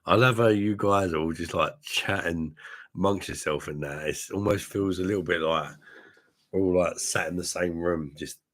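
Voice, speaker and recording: A man hosting a live stream, chatting with his viewers in a rambling, crude way full of swearing, his voice hoarse because he is ill. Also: sits low, normal speed. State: hoarse, unwell. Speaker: livestream host reading chat. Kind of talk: casual crude drinking chat.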